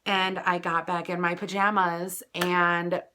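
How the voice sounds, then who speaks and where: An American woman chats to her viewers as a vlogger telling a story from her own life. Her voice is bright and punchy. She is animated and casual, leaning into dramatic emphasis as she relays who said what. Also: lowish voice, slow, a little hiss or hum. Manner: animated, chatty, dramatic emphasis with acted-out quotes. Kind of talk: casual personal storytelling to camera.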